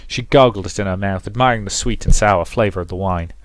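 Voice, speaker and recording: A man's voice: fairly low pitch, fast talker, no room sound. A man reading a visual novel aloud as narrator and host of a let's play.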